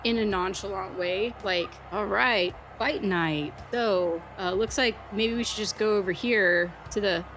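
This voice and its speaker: A woman's voice: medium pitch, a little slow, hardly any pauses, a bit bright, a little hiss or hum. A woman chatting casually as a player in a tabletop roleplaying game.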